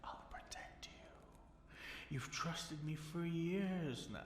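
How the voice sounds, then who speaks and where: A man playing a possessive, seductive fallen dragon king in an intimate ASMR fantasy roleplay, with teasing, commanding delivery and breathy whispered words. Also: on the high side, extremely slow. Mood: coaxing, seductive. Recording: roomy echo, faint background noise.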